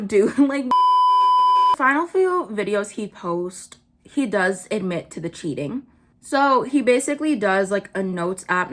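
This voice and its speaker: A young woman doing casual commentary, blunt and full of "like" fillers, her pitch jumping up when she gets worked up. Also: a bit bright. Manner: blunt, swears, many "like" fillers. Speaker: vlogger.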